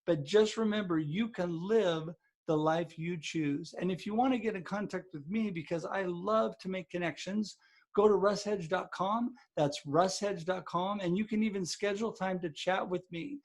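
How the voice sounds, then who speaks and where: A man's voice: high-pitched, moderate pace. A man hosting a podcast, upbeat and promotional as he introduces his guests. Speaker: podcast host.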